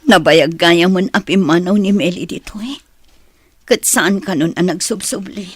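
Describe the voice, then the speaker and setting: A woman's voice: on the low side, moderate pace, husky, no room sound, clean studio sound. A woman with a Filipino accent playing a plaintive, sorrowful mother in a radio drama, longing for her child. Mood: regretful.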